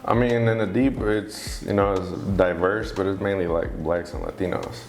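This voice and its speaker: Deep-voiced laid-back Oakland young man. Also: moderate pace, soft background music. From Oakland, California. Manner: laid-back, often asks "you get what I'm saying?". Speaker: guest. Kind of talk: casual interview chat.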